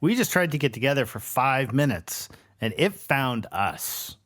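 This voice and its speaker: A man's voice: mid-range voice, sing-song, unhurried, fairly bright tone. A man role-playing in a tabletop game in a blunt, accusing manner. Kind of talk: casual in-character roleplay.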